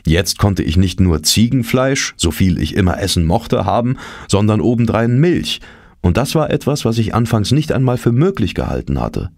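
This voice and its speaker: Low-pitched male audiobook narrator. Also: very animated, normal speed, a little mellow, no echo, clean studio sound. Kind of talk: scripted literary narration.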